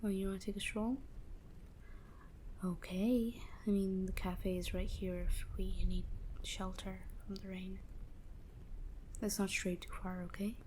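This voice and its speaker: A woman acting as a young goth crush in an intimate audio roleplay, teasing yet sweet. She takes her time, speaking softly at a middling pitch and slipping into breathy murmurs. Clear ambient noise sits behind her voice. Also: flat delivery, lightly acted. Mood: relaxed, warm. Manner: unhurried, casual, teasing. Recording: clearly noisy ambient background. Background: ambient background noise.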